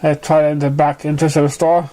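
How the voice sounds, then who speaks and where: A man reading a story aloud as narration, halting and often stumbling over the words. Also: fairly high pitch, flat delivery, warm tone. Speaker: amateur fan fiction reader.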